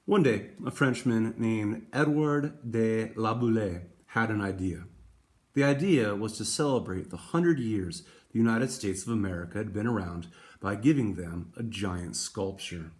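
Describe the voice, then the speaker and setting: A man with a fairly low voice hosts a children's picture book read-aloud. He addresses young listeners directly, with a deliberate storyteller's delivery. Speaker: read-aloud host for schoolchildren.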